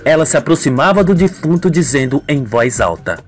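A woman's voice: low-pitched, lively intonation, fairly quick, husky, faint music behind. A woman with a Brazilian accent narrating a scripted radio soap in a melodramatic storytelling manner.

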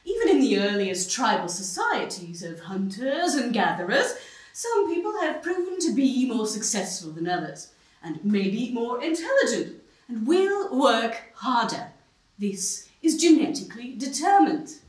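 A woman's voice: a little high, very animated, unhurried. An actress playing an assertive, lecturing female debater on a TV show in a stage play, heard from a far mic in a small stone-walled theater. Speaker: debate guest. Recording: roomy, distant stage sound.

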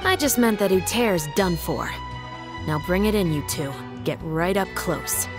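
A woman's voice: lowish voice, animated, measured pace, over music. A woman playing a composed young officer in an anime game, formal, shrewd and drily wry.

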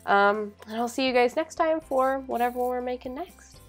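A woman's voice: on the high side, moderate pace. Casual young woman over background music. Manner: informal, hedging with frequent "like". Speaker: tutorial presenter. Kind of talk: casual software tutorial narration.

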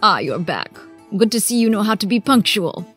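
A woman playing a prim, wry older librarian in an animated film. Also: mid-range voice, normal speed, soft background music. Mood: wry, brisk. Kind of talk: animated film character acting.

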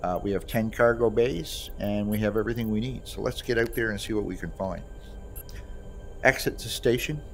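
A man's voice: lowish voice, moderate pace, creaky, faint music behind. A man giving casual, unscripted gameplay commentary, explaining things as he goes. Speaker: gamer demonstrating a voice pack. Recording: noisy home recording.

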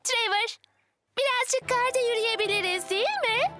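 A woman's voice: very high-pitched, moderate pace, music in the background. A woman voicing a determined, bossy, adventurous young village girl in a children's cartoon dub, urging and pleading. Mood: pleading, rallying.

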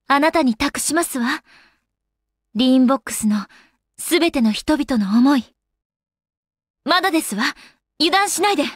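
A woman's voice: high-pitched, no room sound, studio quality. A woman playing an elegant, doting young goddess in an anime game, speaking in polite, ladylike phrases. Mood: grave, determined. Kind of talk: Japanese anime game voice acting.

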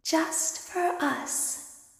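A woman's voice: drawn-out words, a bit bright, roomy sound, long reverb tail. A woman voicing a menacing animatronic clown girl in a horror game, speaking in a sly whisper.

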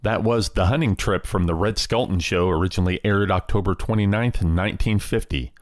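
A man's voice: low-pitched, a little fast. A man presenting scripted narration as the host of a radio program. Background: music.

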